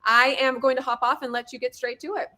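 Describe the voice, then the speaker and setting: A woman's voice: high-pitched, fairly even intonation, fast, sharp tone. A woman hosting a webinar, chatty and enthusiastic as she introduces speakers. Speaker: webinar host.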